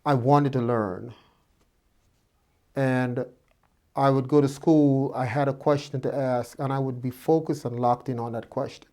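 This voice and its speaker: A man's voice: mid-range voice, steady tone, a little slow, warm tone. A man in his fifties from Guyana, a doctor telling his life story to students in a reflective way, with many fillers and restarts. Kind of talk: relaxed personal storytelling.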